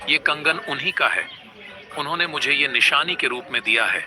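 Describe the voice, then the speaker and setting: A man's voice: on the high side, animated, fairly quick, over music, lightly acted. A man voicing an earnest, devoted monkey-god warrior in a cartoon, speaking respectfully with pleading and exclamations. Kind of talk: animated devotional story dialogue.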